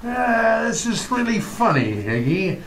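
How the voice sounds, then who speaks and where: A man's voice: sits high, big pitch swings, measured pace, vocal fry, a bit bright, slight background noise, exaggerated voice. A man playing an amused lawyer neighbour in radio comedy style, wry and teasing as he reasons things out. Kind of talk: old-time radio comedy acting.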